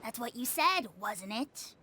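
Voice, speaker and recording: A woman's voice: a little high, animated, measured pace, sharp tone, breathy edge, heavily acted. A woman voicing a young child informant in a video game, earnest and dutifully loyal. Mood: accusing.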